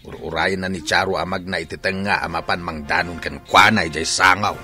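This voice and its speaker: A man's voice: low-pitched, fairly even intonation, brisk, over music, natural voice. A man with an Ilocano accent playing an older, grumbling father who mutters carping comments in a radio drama. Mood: critical, impatient. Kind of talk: Filipino radio drama acting.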